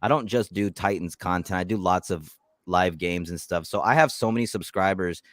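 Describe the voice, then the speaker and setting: A man hosting a casual live stream about his football team, talking in animated bursts and often repeating words as he restarts. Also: sits low, normal speed, no echo, studio quality. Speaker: YouTube channel host.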